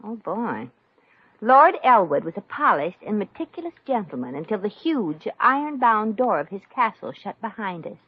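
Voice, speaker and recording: Brassy, good-hearted young wisecracker, very lively pitch, breezy slang, dull vintage radio comedy sound. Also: female voice, normal speed, slightly rough. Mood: amused, astonished. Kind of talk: 1950s radio comedy acting. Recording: dull old-time radio recording.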